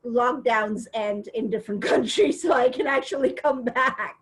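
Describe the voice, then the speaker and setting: A middle-aged woman from India, a professor, talking on a video call about her community work in a measured, steady way. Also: a little high. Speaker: interview guest, professor. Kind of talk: casual video-call interview.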